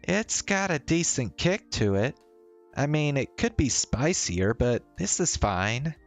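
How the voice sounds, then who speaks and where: A man's voice: a little high, big pitch swings, slow, soft background music, pitched above the performer's own voice, full character voice. A man voicing a tired, teasing and troubled young woman in a visual novel, with a casual, hesitant delivery. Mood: playful, dry. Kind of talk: visual novel dialogue read-aloud.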